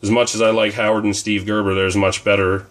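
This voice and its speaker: A man with a slightly low voice, hoarse from fighting off a cold. He chats loosely to camera in a casual vlog, wandering through his thoughts with plenty of ums and uhs. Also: flat delivery, brisk, fairly bright tone. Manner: rambling, conversational, frequent ums and uhs. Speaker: comic collecting vlogger.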